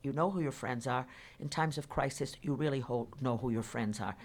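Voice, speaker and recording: Low-voiced older woman, emphatic. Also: brisk, slightly rough. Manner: animated, emphatic, spiritual-minded. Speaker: guest. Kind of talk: radio interview answers.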